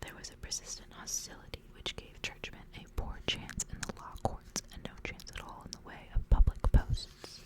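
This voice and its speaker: A woman speaking entirely in a soft, breathy whisper, unhurried and intimate, as an ASMR host who chats and reads aloud. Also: low-quality recording. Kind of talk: whispered ASMR reading and casual chat.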